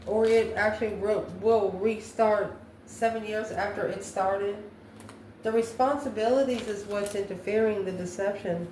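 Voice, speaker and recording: Woman, tarot reader, improvised musing delivery, medium pitch, roomy echo, faint background noise. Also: fairly even intonation, moderate pace, a little mellow. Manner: musing aloud, asks questions and answers them herself. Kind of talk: improvised tarot card reading.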